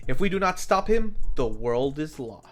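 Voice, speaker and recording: A man's voice: fairly high pitch, very animated, normal speed. A grave masked wrestler hero, read by a male narrator, solemn and afraid yet resolute. The voice moves up and down dramatically over faint music.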